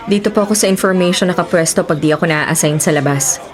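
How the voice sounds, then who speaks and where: A woman's voice: lowish voice, quick pace, crowd noise, natural voice. A young woman acting an earnest, principled policewoman and devoted daughter in a radio drama. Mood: helpful.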